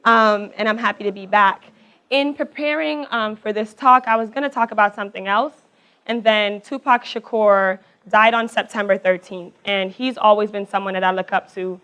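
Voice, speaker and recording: Young woman with a raspy voice. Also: medium pitch. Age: young adult. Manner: talks fast, warm and chatty, laughs between thoughts. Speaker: alumna speaker. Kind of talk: personal talk to a live audience. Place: event hall with audience. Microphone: handheld or stage mic.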